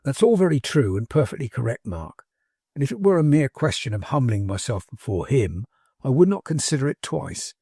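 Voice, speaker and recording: Man reading as a proud, formal young gentleman. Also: mid-range voice, big pitch swings, brisk, slightly rough, no echo, studio quality. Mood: proud, indignant. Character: proud, hesitant young gentleman, brooding and earnest. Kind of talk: dramatised audiobook character dialogue.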